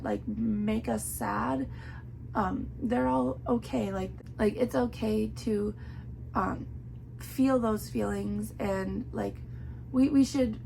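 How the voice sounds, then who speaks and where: A young woman with a hoarse, worn-out voice, chatting casually through a book review with lots of filler words. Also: mid-range voice, darkish tone, a little hiss or hum, low-quality recording. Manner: chatty, many "like" fillers, earnest.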